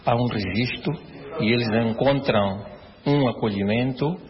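A man speaking as an education official in a halting interview statement with fillers. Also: medium pitch, a crowd in the background. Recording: dull recording in reverberant crowded hall.

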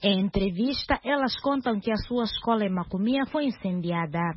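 Female radio correspondent, measured reporting read, lo-fi broadcast sound. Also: medium pitch, breathy edge, dry recording. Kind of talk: news report with interview. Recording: dull radio broadcast with faint hiss.

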